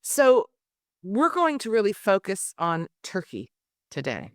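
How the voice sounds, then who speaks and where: A middle-aged woman hosting a podcast, speaking in measured, deliberate, emphatic phrases as she introduces and questions. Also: mid-range voice, sing-song, dry recording, clean studio sound. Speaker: podcast host and interviewer. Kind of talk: scripted introduction and formal interview questions.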